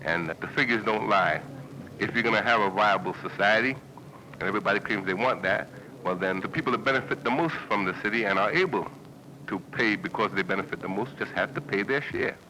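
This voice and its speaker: A man's voice: lowish voice, fairly quick. An American man giving earnest political talk in a documentary interview. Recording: noisy old newsreel, traffic-like ambience.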